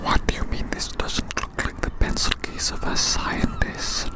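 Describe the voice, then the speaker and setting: An ASMR host whispering a playful roleplay monologue as a quirky, inquisitive scientist, softly repeating phrases. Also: unhurried. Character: quirky, inquisitive scientist examining a little creature.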